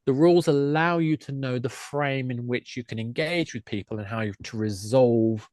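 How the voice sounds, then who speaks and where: A man's voice: medium pitch, normal speed, a little mellow, no echo, clean studio sound. A man speaking as an enthusiastic podcast guest, explaining games in a conversational way.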